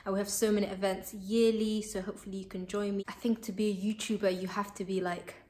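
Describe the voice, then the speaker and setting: A bubbly young woman with a lilt talks straight to her camera, chatty and full of "like" and "um". Her is Somali, and she sounds relaxed and personal, as if speaking to her followers. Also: mid-range voice, fast talker. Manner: bubbly and chatty, many fillers. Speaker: vlogger. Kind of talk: casual chat to camera.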